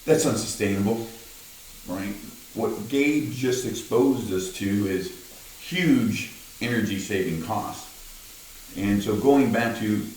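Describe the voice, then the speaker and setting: An older man with a gruff voice who talks directly, rambling to camera in a casual vlog and often tagging on "right?". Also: lowish voice, heavy reverb, far from the mic, a little hiss or hum, rough recording. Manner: rambling, many "right?" tags. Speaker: vlogger.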